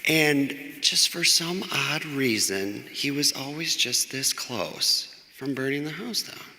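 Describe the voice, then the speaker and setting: A man's voice: mid-range voice, takes it slowly, sharp tone. A man in his forties, a grandson sharing rambling, laughing memories in a casual eulogy in a church. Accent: American. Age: 40s. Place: church with long reverb. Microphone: church microphone. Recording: church hall reverb.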